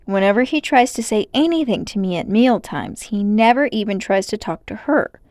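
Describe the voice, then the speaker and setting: A woman voicing an excitable, brooding and adventurous schoolboy in a storybook, speaking emphatically. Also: mid-range voice, moderate pace, no room sound, studio quality, close to an everyday voice. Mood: secretive, earnest. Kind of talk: storybook character voice.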